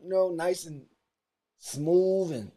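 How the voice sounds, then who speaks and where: Slangy young Baltimore podcast host. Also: male voice, high-pitched, takes it slowly, dark tone. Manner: loose, slangy, hype. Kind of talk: casual podcast chat.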